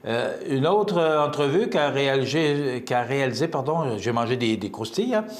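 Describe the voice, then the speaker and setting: A man with a Quebec French accent hosts a local morning show in a roomy, echoey studio. He rambles along with fillers and restarts. His pitch sits at a middling level. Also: animated, moderate pace. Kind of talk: casual on-air news chat.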